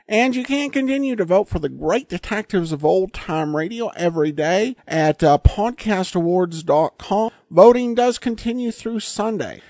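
A man's voice: high-pitched, lively intonation, moderate pace, hardly any pauses, a little mellow, dry recording, studio quality. A man hosting an old-time radio podcast, chatting casually and reading out listener mail, with frequent ums and uhs. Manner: conversational, many filler words and restarts. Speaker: podcast host.

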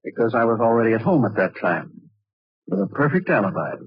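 A man's voice: lowish voice, big pitch swings, brisk, husky, dry recording, heavily acted. A man acting a bitter, resigned older father-in-law confessing with aggrieved deliberation in a 1940s radio drama. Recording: restored old radio, muffled and dry.

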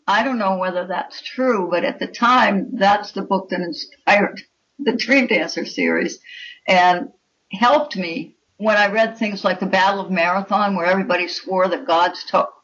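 A woman's voice: lowish voice, fairly bright tone. A woman author giving discursive, erudite, explanatory answers as a guest in an interview. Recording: dull lo-fi audio.

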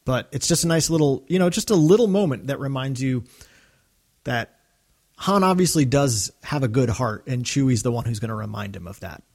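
A man's voice: medium pitch, lively intonation, moderate pace, darkish tone. A man with a mid-range voice chats as a podcast co-host. He is enthusiastic and loose, rambling as a fan does through his thoughts. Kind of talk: casual fan discussion.

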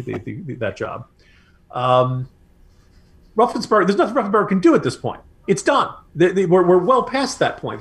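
A man's voice: mid-range voice, husky. An American man co-hosting a political podcast, giving opinionated commentary in an emphatic, sardonic way with mocking asides.

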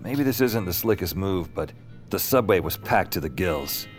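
A man's voice: deep voice, expressive, normal speed. An actor playing an anxious night-shift dispatcher, narrating his misadventures in dry, clipped sentences. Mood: sour, wry. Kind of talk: first-person noir-comic audio drama narration. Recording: music under the voice.

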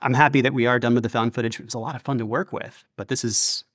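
A man chatting casually in an interview as an audio producer and sound designer, halting at times and acting out bits of dialogue. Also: mid-range voice, fairly even intonation, fairly bright tone, no echo, clean studio sound.

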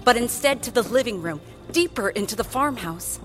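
A woman's voice: fairly high pitch, lively intonation, normal speed. A woman narrating a scripted audio drama in a storytelling style. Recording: music bed under voice.

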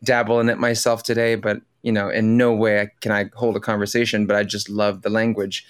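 A man's voice: on the low side, level tone, fairly quick, clean tone, no echo, studio quality. A man from the Philippines whose first language is Tagalog, chatting as a guest on an interview podcast, friendly and full of restarts. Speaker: podcast guest, Broadway performer. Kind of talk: casual interview.

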